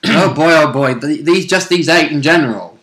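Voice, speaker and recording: A man's voice: a little high, moderate pace, fairly bright tone, poor audio. A man co-hosting a tabletop gaming show in casual chat, jokey and given to chuckling. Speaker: co-host and player in a tabletop role-play tournament.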